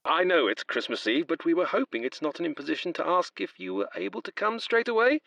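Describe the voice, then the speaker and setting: An actor playing a polite, wordy railway official in an audio drama, apologetic and eager to please. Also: male voice, on the high side, big pitch swings, quick pace, creaky, pushed and bright, dry recording, full character voice. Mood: tentative, hopeful.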